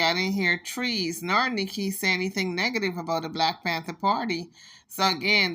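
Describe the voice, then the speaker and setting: Bright-voiced scolding woman commentator. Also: fairly low pitch. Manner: emphatic, scolding, reads messages aloud. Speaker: commentary channel host. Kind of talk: casual gossip commentary.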